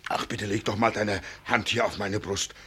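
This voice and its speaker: An actor playing a poor, emotional farmhand who has just become a father, in a German radio play, with a rough voice. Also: on the low side, steady tone, normal speed, heavily acted. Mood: moved, tender. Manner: emotional, brooding, swinging from loud complaint to murmur. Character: poor, grumbling farmhand turned tender, anxious new father.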